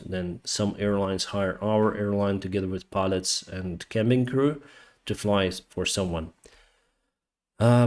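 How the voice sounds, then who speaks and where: A man from Ukraine with a Ukrainian accent, hosting a casual live chat and answering viewers in a hesitant, halting way. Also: on the low side. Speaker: live stream host, airline pilot.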